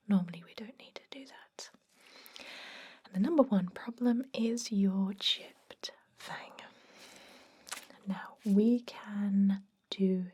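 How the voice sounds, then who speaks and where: A woman whispers her way through an ASMR roleplay as a calm, professional dentist. Her voice is breathy and mellow, and she speaks slowly. She explains things in a gentle, reassuring way. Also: medium pitch. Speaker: ASMR performer playing a dentist.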